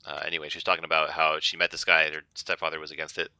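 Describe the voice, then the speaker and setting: Man, very low voice, bright forceful tone, joking, chatty let's-play commentary. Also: completely flat delivery, rapid-fire, smooth, dry recording. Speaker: streamer.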